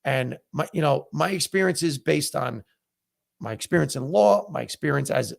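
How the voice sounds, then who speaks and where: Gravelly man on a casual live stream. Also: on the high side, lively intonation, normal speed. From United States. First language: Italian. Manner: conversational, frequent fillers, candid opinions. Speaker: comedian and podcast host.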